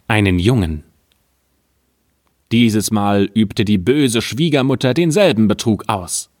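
A man reads an old fairy tale aloud in a calm, measured way. He takes his time, and his voice sits a little low. The sound is dry and close, with no room echo. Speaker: storyteller.

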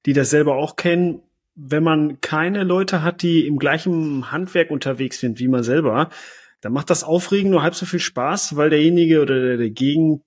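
Husky German man with a cold. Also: a little high, moderate pace. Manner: laughs easily, relaxed joking. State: has a cold. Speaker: podcast co-host. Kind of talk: casual chat.

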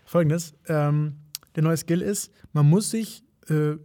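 A man's voice: a little high, slow pace, dark tone, dry recording, clean studio sound. A man co-hosting a casual podcast chat, joking and playful, with lots of fillers and restarts.

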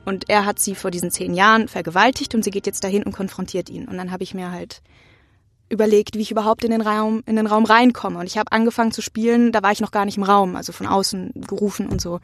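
A young woman speaks in a casual interview, telling things in an informal, anecdotal way. Her medium-pitched voice is recorded very dry, with almost no room sound. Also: steady tone, fast, no echo, faint music behind. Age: young adult. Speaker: interview guest, acting student. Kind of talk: casual conversational interview answers.

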